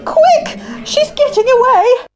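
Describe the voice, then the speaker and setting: An actress playing a pointed, self-important, competitive village baker in a comic radio drama. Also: female voice, very high-pitched, slow. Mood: urgent, insistent. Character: competitive, accusing village cake baker woman. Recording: music behind the voice.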